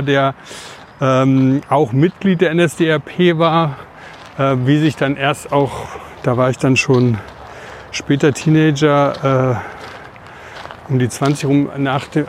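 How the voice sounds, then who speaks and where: A man's voice: mid-range voice, a little mellow, a little hiss or hum. A man in his forties from the Odenwald in southern Hesse, co-hosting a podcast and telling rambling personal anecdotes in casual conversation. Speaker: podcast co-host.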